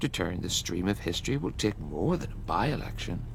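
A man giving a measured, declamatory reading of a long poem. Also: low voice, big pitch swings, gravelly, slight background noise. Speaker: poetry reader. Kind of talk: dramatic poetry reading.

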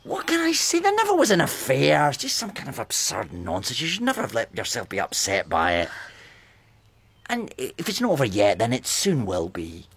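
A man's voice: medium pitch, huge pitch swings, moderate pace, fairly bright tone, husky, dry recording, exaggerated voice. An actor playing a remorseful, self-mocking man who pleads with his wife, swinging from wry jokes to anguish in a radio play. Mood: evasive.

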